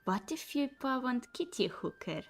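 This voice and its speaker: A woman's voice: high voice, expressive, measured pace, heavily acted. A woman voicing a cheerful, simple-minded-sounding harpy who speaks eagerly in clipped, broken English in a fantasy story. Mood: curious. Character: cheerful simple-spoken female harpy with wing claws.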